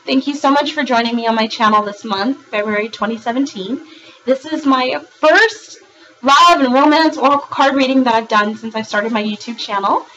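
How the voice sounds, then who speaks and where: A woman with a hoarse, raspy voice from a recent virus, giving a monthly tarot reading in a rambling way, with frequent ums and restarts. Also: on the high side, moderate pace, pushed and bright, dull audio. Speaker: tarot reader.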